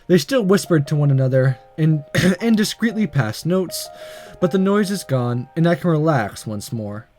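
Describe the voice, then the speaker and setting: Guy reading a visual novel out loud, really animated, kinda high voice, faint music behind. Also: normal speed. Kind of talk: expressive read-aloud narration.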